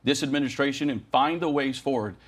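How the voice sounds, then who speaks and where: A man's voice: medium pitch, quick pace, breathy edge. A man speaking as a union leader in a television news interview, making his case earnestly and emphatically in long, slogan-like sentences. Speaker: air traffic controllers' union president.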